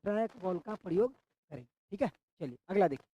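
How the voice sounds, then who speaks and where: A man's voice: sits high, expressive, a little fast, warm tone, slightly rough, no echo. Man teaching with a sore throat. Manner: repeats questions back to the class, quizzing style. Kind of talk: online exam-prep class.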